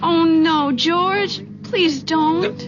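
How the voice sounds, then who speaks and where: A woman's voice: very high voice, very slow, over music, exaggerated voice. An actress playing an anxious, cautious young woman in an old-time radio drama. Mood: anxious pleading. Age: young adult. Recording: dull, noisy vintage radio recording.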